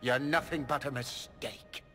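A man voicing a scheming middle-aged magister villain with a smooth, menacing, grandiose manner, in game audio with hall reverb and score. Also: mid-range voice, unhurried, fairly bright tone, faint music behind, lightly acted.

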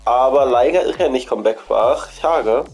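A man's voice: mid-range voice, moderate pace, a little mellow, husky, faint music behind. A man with a cold, chatting casually as he walks through an in-game shop, repeating himself and thinking aloud. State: has a cold. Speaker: gaming channel host.

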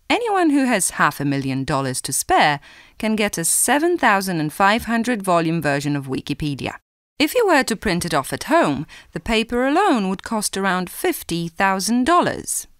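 A woman giving a clear, measured scripted reading for a language listening exam. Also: lowish voice, no echo, studio quality. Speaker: exam recording reader.

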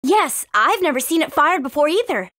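A woman's voice: high voice, sing-song, moderate pace, fairly bright tone, dry recording, clean studio sound. A woman playing a spirited, earnest young girl adventurer in a video game, speaking expressively. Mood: curious.

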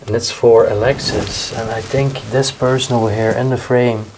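Young man exploring, flat voice. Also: mid-range voice, normal speed, noisy background. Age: young adult. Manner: curious, pointing things out as he goes. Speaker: urban explorer. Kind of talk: casual walkthrough narration. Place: abandoned house, indoors.

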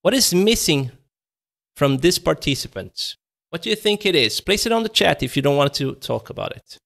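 A man in his thirties presenting a webinar from home over a video call. His voice sits on the high side, and he keeps swinging it between bright, lifted lines and quiet, slowed-down ones to show what he is teaching. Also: sing-song, vocal fry. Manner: shifts voice energy up and down to demonstrate, conversational. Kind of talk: live online webinar talk. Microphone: video call webcam.

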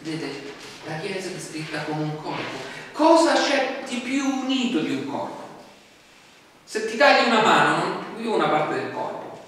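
A man's voice: high-pitched, very animated, moderate pace, a bit bright. A man, conversational, with rhetorical questions and frequent fillers. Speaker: pastor. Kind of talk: sermon. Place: small church hall, indoors. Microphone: distant camera mic. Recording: echoey hall from far off with faint noise.

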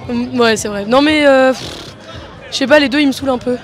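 High offhand young woman. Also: fairly quick, soft background music, a faint crowd. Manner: short, offhand replies. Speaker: carnival participant in costume. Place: outdoor steps at a carnival. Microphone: handheld mic held to her.

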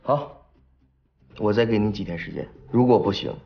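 An actor playing a blunt, impatient middle-aged company boss in a screen drama. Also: male voice, lowish voice, normal speed, muffled recording, natural voice. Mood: brusque, displeased. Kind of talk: naturalistic screen drama acting.

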